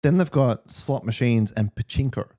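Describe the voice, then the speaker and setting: An Australian man chatting casually as a podcast guest, telling anecdotes in a relaxed conversational way. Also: mid-range voice, normal speed.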